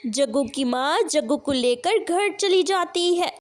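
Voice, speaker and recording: A woman's voice: high-pitched, expressive, unhurried. A woman narrator reading a scripted children's cartoon story.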